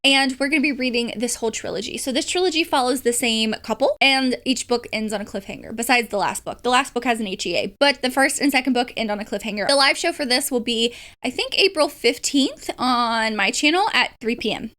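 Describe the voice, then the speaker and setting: A woman's voice: on the high side, brisk, hardly any pauses, fairly bright tone. A woman chatting casually about books in an informal, enthusiastic way, like a book vlogger. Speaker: book vlogger and readalong host.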